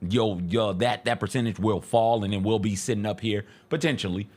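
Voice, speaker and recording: A man's voice: lowish voice, brisk. A man giving casual, emphatic sports commentary as a talk show co-host, repeating points for stress.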